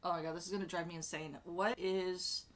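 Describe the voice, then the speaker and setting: A woman chatting casually as she ranks characters, rambling with lots of fillers and restarts and sudden bursts of excitement. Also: fairly low pitch, brisk. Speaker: streamer ranking show characters.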